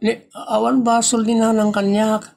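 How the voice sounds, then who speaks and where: A man with a Filipino accent playing an evasive, flustered husband in an audio comedy drama, with a husky, gravelly voice. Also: high-pitched, completely flat delivery, a little slow, darkish tone.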